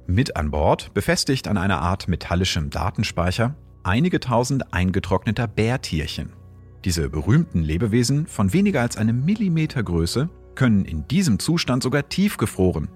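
A man with a very deep voice narrates science explanations for an audio drama podcast. His delivery is lively and varied, with a faint bed of music under him. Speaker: podcast host and astrophysicist.

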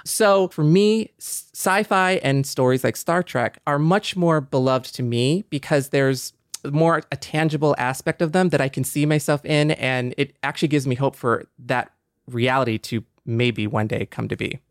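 A man's voice: medium pitch, normal speed, dry recording, clean studio sound. A man hosting a podcast, talking enthusiastically with lots of fillers. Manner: enthusiastic, many fillers like "like" and "you know". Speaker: podcast host. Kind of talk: casual conversation.

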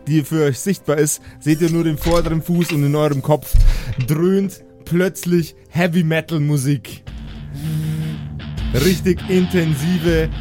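A man's voice: fairly high pitch, steady tone, measured pace, dark tone. A man improvising narration as game master of a tabletop audio play, often searching for his words. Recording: music behind the voice. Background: music.

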